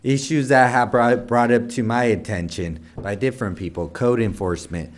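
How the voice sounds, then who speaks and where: A man's voice: medium pitch, fairly even intonation, normal speed. A man chairing a city council meeting, talking his way through a long list with many restarts and asides. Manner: rambling, many restarts, lists items. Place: council chamber.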